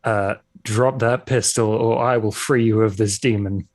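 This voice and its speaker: A man playing a tabletop game, talking casually in a deliberate, hesitant manner. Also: lowish voice, fairly even intonation, no echo.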